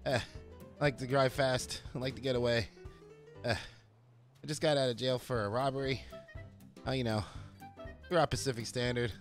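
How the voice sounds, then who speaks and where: A man voicing a boastful, out-of-shape getaway driver who talks haltingly and out of breath for a game roleplay. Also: mid-range voice, fairly even intonation, faint music behind, natural voice. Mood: winded, boastful.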